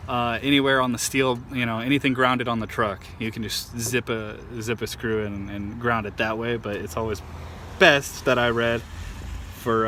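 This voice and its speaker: A man's voice: mid-range voice, fairly bright tone. A man giving a casual, modest how-to explanation in an informal, hesitant way, like a DIY video host. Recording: clear vehicle and outdoor ambient noise.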